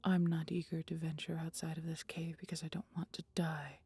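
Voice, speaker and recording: A woman voicing a caring, protective female dragon in an intimate, breathy ASMR roleplay, with gently formal, old-fashioned speech. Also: sits low, little pitch movement, fairly quick, heavily acted. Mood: grave, reluctant. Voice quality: breathy, whispery.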